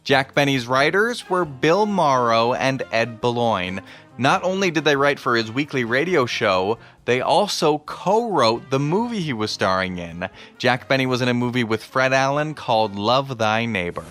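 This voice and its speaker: A man's voice: medium pitch, sing-song, moderate pace, fairly bright tone, soft background music. A man hosting a podcast with scripted, explanatory narration. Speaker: podcast host.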